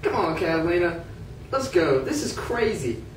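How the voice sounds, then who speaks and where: A man's voice: sits high, sing-song, normal speed. A man voicing an earnest, defiant freed man in a puppet show, emphatic and indignant about equal rights. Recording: roomy, distant, noisy amateur recording.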